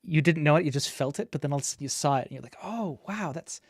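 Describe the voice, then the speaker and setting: A man's voice: a little high, fairly even intonation, racing, no echo, studio quality. A man hosting a casual interview, chatting conversationally and reacting with impressed enthusiasm. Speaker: interview host.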